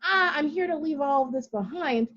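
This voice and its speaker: A woman's voice: high voice, normal speed, fairly bright tone, lo-fi sound. A woman speaking as a round table panelist, making her point emphatically and persuasively. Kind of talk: panel discussion.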